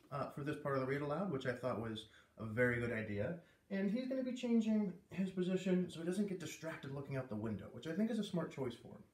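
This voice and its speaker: A man reading a children's novel aloud in a deliberate, expressive storytelling way, with pauses, like a teacher to a class. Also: a little high. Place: indoor room with a window. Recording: roomy echo, distant microphone.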